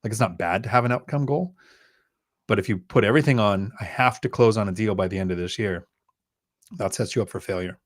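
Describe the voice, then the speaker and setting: A man's voice: on the low side, fairly quick. A man from Thailand, a guest investor, giving blunt, emphatic advice in a casual podcast chat. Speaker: guest real estate investor.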